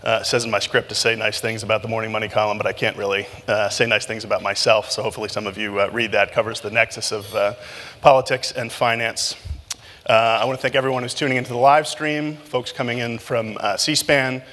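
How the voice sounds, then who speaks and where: A man moderating a live breakfast event, talking loosely with many filler pauses as he asks his guests questions. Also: fairly low pitch, flat delivery, sharp tone, long reverb tail. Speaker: moderator and journalist. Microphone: handheld mic.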